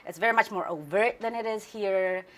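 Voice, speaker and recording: A woman's voice: medium pitch, moderate pace. A woman in her forties from Central Java, whose first language is Javanese, speaking as an interview guest in a thoughtful way with fillers and restarts. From Central Java, Indonesia. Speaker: interview guest, news anchor. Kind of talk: conversational interview.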